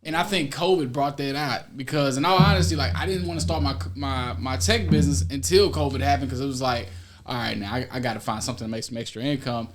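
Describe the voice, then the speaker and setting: A man's voice: mid-range voice, fast. A man on a discussion panel, casually explaining his own experience in informal language. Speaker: podcast panelist, tech business owner. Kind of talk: casual chat.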